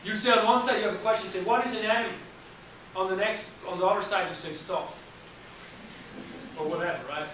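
Animated male anatomy instructor. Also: high-pitched, fairly quick, pauses often, slight background noise. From Switzerland. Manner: animated, talks with his hands, restarts often. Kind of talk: classroom lecture. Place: college classroom, indoors. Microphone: far camera at the back of the room. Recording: echoey classroom from far off.

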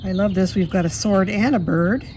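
A woman with a slightly hoarse voice from a cold, chatting fondly through a walkthrough of a card deck at her table. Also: mid-range voice, normal speed, mellow, slight background noise. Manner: fond, chatty, admiring. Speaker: tarot deck reviewer. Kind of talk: casual walkthrough. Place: indoors at a table.

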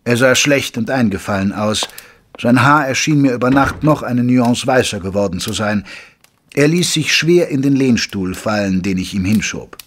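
An actor playing a loyal gentleman doctor who narrates a detective story in a measured, literary way. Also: mid-range voice, animated, a little hiss or hum, close to an everyday voice. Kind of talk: scripted audio drama narration.

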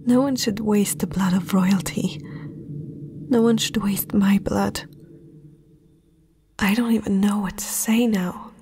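A woman playing a young princess, haughty yet spellbound, in a breathy, hesitant whisper for an ASMR fantasy roleplay. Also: mid-range voice, steady tone, background noise. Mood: hesitant, tempted. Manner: slow, hesitant, dreamy delivery.

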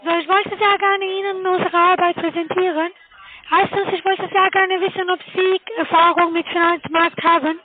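Female telemarketer, very high voice, foreign accent, non-native phrasing, thin muffled phone line. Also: flat delivery, normal speed, no room sound. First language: not German. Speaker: cold caller selling online investments. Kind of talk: phone sales pitch. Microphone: telephone call.

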